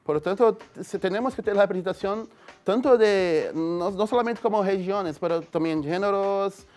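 A man in his forties with a Brazilian Portuguese accent, answering interview questions about technology haltingly, restarting phrases. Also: high-pitched, a little mellow. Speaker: tech expert. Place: room with brick wall.

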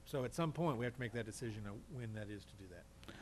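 A man's voice: mid-range voice, fast. A man advising a council in a public meeting, explaining things carefully, step by step.

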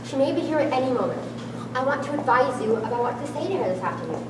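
A woman's voice: sits high, expressive, quick pace. A young woman in an amateur stage play, playing a wife's raw, passionate, bitter inner self with emphatic, agitated delivery. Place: large school hall with small audience. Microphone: far camera. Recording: echoey hall, distant camera, loud room noise.

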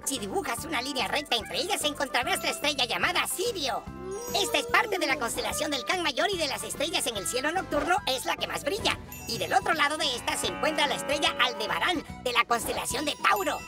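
High, lively woman explaining the night sky. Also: a little fast. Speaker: narrator. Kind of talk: educational cartoon narration. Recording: music playing underneath.

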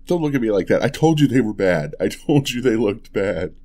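A man playing an affectionate, playful young husband in an ASMR roleplay, talking softly and tenderly in a casual, hesitant way. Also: on the low side, big pitch swings, fairly quick. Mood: sheepish, affectionate. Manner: soft, hesitant, chuckling, tender. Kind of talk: ASMR boyfriend roleplay, intimate casual chat.

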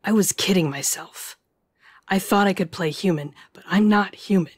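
Husky, brooding werewolf woman, acted by a woman. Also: medium pitch, moderate pace, no room sound, natural voice. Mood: tense, guilty. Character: brooding, guilt-ridden young female werewolf, stubborn and self-loathing. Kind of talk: dramatic audio roleplay acting.

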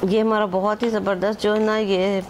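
A woman talks through a recipe at a mid pitch. She speaks in a chatty, homely way and drops English measurements into her speech. A faint hum of room noise sits behind her. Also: flat delivery, moderate pace. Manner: chatty, repeats "acha ji" and "ye dekhen", mixes English measures into Hindi-Urdu. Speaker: home cooking channel host. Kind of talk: casual step-by-step cooking instruction.

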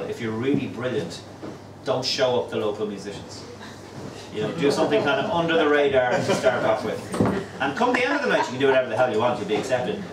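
A man's voice: mid-range voice, heavy background noise. Jokey man telling pub stories. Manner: jokey, animated, mimics people in quick asides. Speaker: pub crawl host. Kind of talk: humorous storytelling to a pub crowd. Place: small crowded pub, indoors. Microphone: far camera, no mic. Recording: roomy pub echo, distant, chatter behind.

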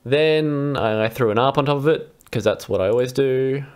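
A man's voice: mid-range voice, normal speed, darkish tone. A young adult man casually talking through a music patch demonstration in a laid-back, informal way. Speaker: vlog host, electronic musician. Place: small room indoors at a computer. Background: synthesizer music.

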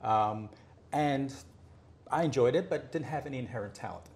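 A middle-aged man from Miami, talking in a relaxed, easygoing way as the guest in a home interview, recorded in a living room. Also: medium pitch, normal speed. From Miami, United States. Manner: easygoing, gestures with hands while talking. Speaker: artist guest. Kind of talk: relaxed conversational storytelling.

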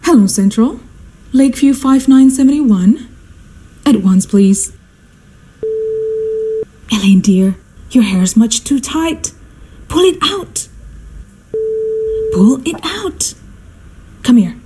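An actress playing a fussy, imperious, status-hungry society mother in a radio drama, theatrical and exclamatory, with a husky voice. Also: high voice, animated, slow, long pauses, background noise. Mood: urgent.

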